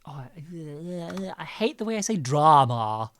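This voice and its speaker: A woman chatting casually on a livestream while she edits audio, with an expressive, giggly and effusive manner. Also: deep voice, slow pace. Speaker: audio drama producer and livestream host.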